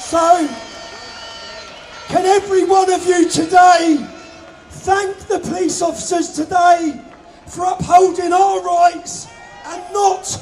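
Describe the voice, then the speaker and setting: A man shouting an impassioned speech to a street rally crowd through a stage microphone. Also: very high-pitched, flat delivery, slow, pauses often. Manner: shouting to a crowd, hoarse-edged. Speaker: rally organiser speaking on stage. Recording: live outdoor rally with crowd. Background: crowd noise.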